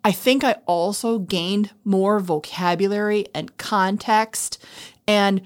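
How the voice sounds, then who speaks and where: A woman's voice: mid-range voice, slow pace. A middle-aged woman talking casually and reflectively, explaining things in an earnest, conversational way as a co-host. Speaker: podcast co-host, former elementary school teacher.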